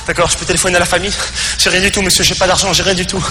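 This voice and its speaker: Male comic as naive immigrant, high voice, polite, hesitant, repetitive, eager to please, stage comedy, echoey noisy hall. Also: level tone, very fast, sharp tone. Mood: pleading. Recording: echoey hall, clear background noise.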